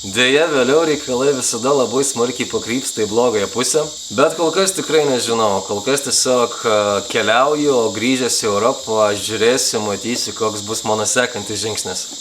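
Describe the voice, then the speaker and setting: A man with a Lithuanian accent, answering viewer questions in a relaxed, conversational way, often saying "you know". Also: mid-range voice, brisk, hardly any pauses, background noise. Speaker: travel vlogger. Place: under a roof outdoors at night in the rainforest. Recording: outdoor night with loud insects. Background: crickets and insects.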